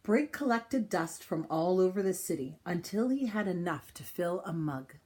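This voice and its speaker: A woman reading a children's picture book aloud in an expressive style, with deliberate, dramatic phrasing and pauses. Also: fairly low pitch. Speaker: storybook reader.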